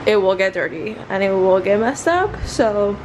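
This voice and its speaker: A woman's voice: medium pitch, expressive, moderate pace, a little mellow, slight background noise, poor audio. A woman chatting casually in a vlog, rambling along in a self-deprecating way. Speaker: vlogger.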